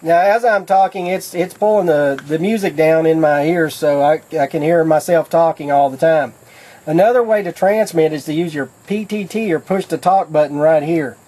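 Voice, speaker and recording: A man's voice: on the high side, moderate pace, warm tone, rough recording. A man giving a casual how-to demonstration in a folksy, plain-spoken way, like a product demonstrator explaining things step by step.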